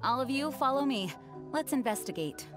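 A dutiful young female knight commander in an anime game. She sounds earnest, courteous and composed, in a fairly high voice, with music behind her. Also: sing-song, normal speed. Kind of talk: anime video game cutscene acting.

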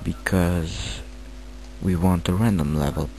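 Slow, gravelly Romanian-accented man. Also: sits low, steady tone, dark tone, a little hiss or hum, low-quality recording. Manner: hesitant, halting, filler-heavy. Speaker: tutorial maker. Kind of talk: screen-recorded software tutorial.

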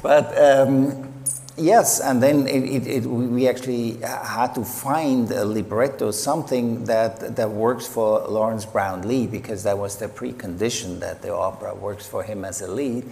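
A man from Switzerland, a composer on a panel, telling a story in a relaxed way with fillers. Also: medium pitch, a little slow, gravelly, a little hiss or hum. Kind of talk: conversational storytelling. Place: large theater stage. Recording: reverberant hall with faint ambience.

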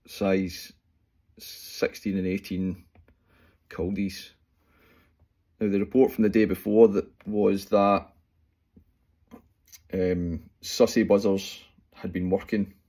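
A Scottish man in his forties explaining fly tying step by step at home, talking in a relaxed way with plenty of fillers as he works. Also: lowish voice. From Scotland. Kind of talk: casual talk-through tutorial. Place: small room at home, indoors. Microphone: phone camera close up.

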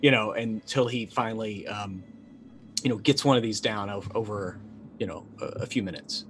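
A middle-aged man playing a tabletop roleplaying game, narrating casually and haltingly as he thinks aloud. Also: fairly low pitch, a little hiss or hum. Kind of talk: improvised in-character narration, casual. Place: indoor room, video call.

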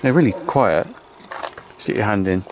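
Brief: middle-aged farmer, cheerful and chatty, selfie camera outdoors with noise behind. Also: male voice, on the low side, animated, normal speed. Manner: cheerful, chatty, asks lots of questions. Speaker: farmer vlogger. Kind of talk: casual vlog chat. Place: outdoors on a farm and in a car. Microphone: handheld camera selfie. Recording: noisy outdoor camera audio.

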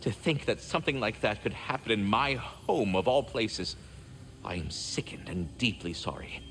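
A man's voice: on the low side, animated, moderate pace, fairly bright tone, slight background noise. A man voicing a courteous, fretful, erudite magical squirrel in a video game, speaking in formal, wordy, theatrical phrases. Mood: sorrowful, sympathetic. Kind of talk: video game character acting.

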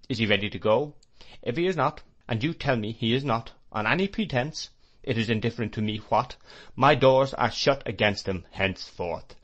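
A man reading an audiobook, voicing a cold, stern gentleman who speaks in a measured, formal way. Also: medium pitch, muffled recording.

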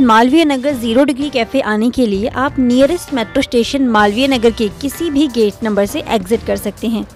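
A young woman vlogger chatting casually and frankly to a phone held at arm's length, mixing words into her talk. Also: high voice. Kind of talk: casual food vlog chat. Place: outdoors on city streets and in a metro station.

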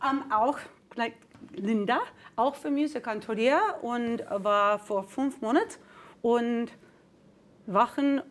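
A middle-aged Texan woman, a native English speaker and singer, chatting in halting German on a TV studio set, laughing often and searching for words. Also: a little high. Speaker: singer, talk show guest. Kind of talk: casual chat in halting German.

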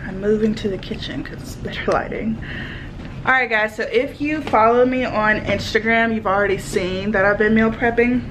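A young woman in her twenties talks casually into a phone held at arm's length, her voice pitched in the middle range. She rambles along with plenty of "like" and "literally". Faint room noise sits under her voice in a small home. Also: moderate pace. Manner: chatty, many fillers like "like" and "literally". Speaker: vlogger. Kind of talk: casual chat to camera. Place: small home, living room then kitchen, indoors. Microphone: phone camera at arm's length.

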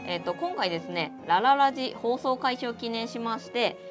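A woman with a fairly high voice presents an announcement in a polite, careful manner. She sounds like she is reading prepared notes, with clear background music under her. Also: lively intonation, normal speed. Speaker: presenter explaining a game campaign. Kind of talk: scripted announcement read out.

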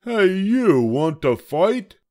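A grouchy little storybook ladybug acted by an older man, pitched high and loud. The delivery is brash and challenging, swooping up and down as it picks fights. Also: sing-song, takes it slowly, no echo, clean studio sound, full character voice. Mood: pugnacious. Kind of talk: children's storybook character voice.

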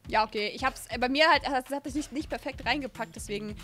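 A young woman in casual gameplay chat, excitable and repeating her phrases. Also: high voice, fast talker. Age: young adult. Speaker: player in a group game video. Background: music.

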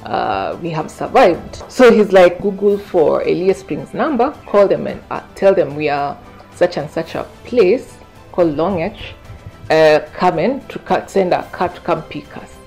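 Woman, thirties, interviewee recounting events, lowish voice with plenty of vocal fry. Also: expressive, a little slow, a little mellow. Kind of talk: conversational recounting to camera. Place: indoor living room, seated. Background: faint music.

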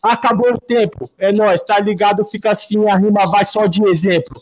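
High-voiced man freestyle rapping. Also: fairly even intonation, fairly quick, a little mellow, low-quality recording. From Northeast Brazil. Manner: slangy street rhyming flow. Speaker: freestyle rap battle contestant. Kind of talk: freestyle rap over a beat. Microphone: webcam on a video call.

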